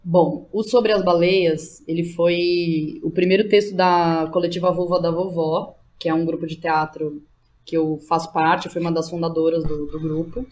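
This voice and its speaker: A woman's voice: lowish voice, steady tone, normal speed, a little mellow, a little hiss or hum. A woman with a São Paulo Brazilian accent talking informally and slangily as a podcast guest, thinking aloud and often checking in with the listener. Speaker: interview guest, playwright and theatre educator. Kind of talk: casual podcast interview.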